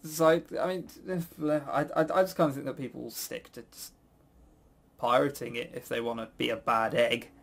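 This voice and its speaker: A man's voice: medium pitch, fairly even intonation, normal speed, vocal fry. A British man hosting a casual podcast, talking in a rambling, blunt, sweary way with frequent stammered false starts. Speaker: podcast host. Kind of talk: casual opinionated chat.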